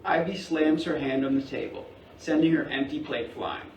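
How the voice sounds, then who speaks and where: A man's voice: on the high side, steady tone, moderate pace, lo-fi sound. A man reading scripted stage directions as narrator at a staged play reading in a roomy theatre. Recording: roomy theatre, distant mic, faint noise.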